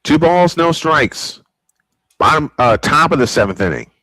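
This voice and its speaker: An older man doing old-school play-by-play baseball commentary as a fan broadcaster, calling pitches and counts and getting excited on close plays. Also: measured pace, no room sound, poor audio. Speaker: play-by-play announcer.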